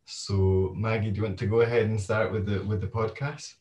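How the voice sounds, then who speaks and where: A man with a low, flat voice moderates an online event and announces breaks and the running order. He sits some way from his microphone, so his voice sounds roomy and distant. He speaks in a relaxed, practical way. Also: a little fast. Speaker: event host. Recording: roomy sound, far from the mic.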